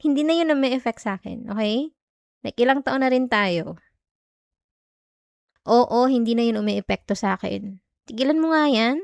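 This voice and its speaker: High-voiced sulky Filipino girlfriend played by an actress. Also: expressive, normal speed, creaky, long pauses, no echo, clean studio sound. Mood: curt, annoyed. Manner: defensive, clipped, teasing Taglish. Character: jealous, sulky young student girlfriend, easily irritated. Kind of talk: ASMR girlfriend roleplay.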